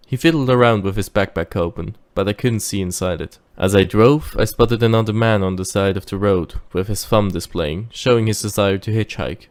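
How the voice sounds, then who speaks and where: Man acting a cautious driver, first-person audio drama, measured storytelling, fairly low pitch. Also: a little mellow, natural voice. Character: dutiful, wary male driver recounting his tale.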